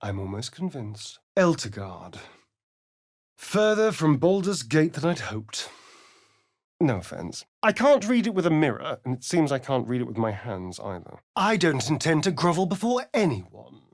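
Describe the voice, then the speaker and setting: A sly, vain vampire rogue. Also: male voice, sing-song, normal speed. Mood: sly, mocking. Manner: arch, teasing, theatrical swoops in pitch. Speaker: game companion character. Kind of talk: video game voice acting.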